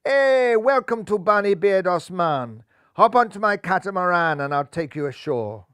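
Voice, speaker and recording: Man putting on a loud, laid-back Caribbean rabbit. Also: sits high, big pitch swings, unhurried, clean tone, no room sound, clean studio sound, heavily acted. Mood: cheery. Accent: put-on Caribbean. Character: laid-back male rabbit cricket star with island lilt.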